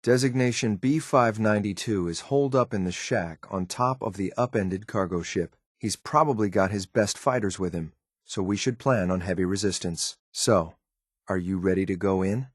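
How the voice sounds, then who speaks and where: An actor playing a stern, loyal synth enforcer in a video game, speaking in a clipped, formal, deferential military manner. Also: male voice, on the low side, moderate pace, dry recording, studio quality, close to an everyday voice. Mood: businesslike, serious. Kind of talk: video game companion dialogue.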